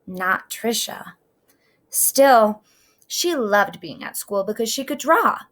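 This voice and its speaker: A middle-aged woman reading a children's story aloud with deliberate, expressive, dramatic phrasing. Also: a little high.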